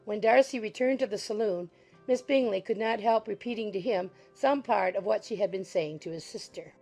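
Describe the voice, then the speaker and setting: A woman narrating a dramatized audiobook of a classic novel in a measured, formal storytelling manner. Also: medium pitch, vocal fry, darkish tone, faint music behind, rough recording.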